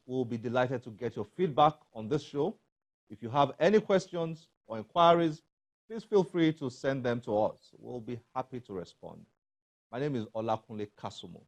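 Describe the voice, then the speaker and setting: A man with a Nigerian accent presenting a scripted TV show to viewers, with deliberate emphasis on key phrases. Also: mid-range voice. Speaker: TV book show host.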